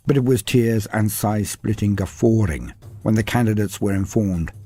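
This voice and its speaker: A man narrating a scripted story in a dramatic, animated way. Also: lowish voice, moderate pace, husky.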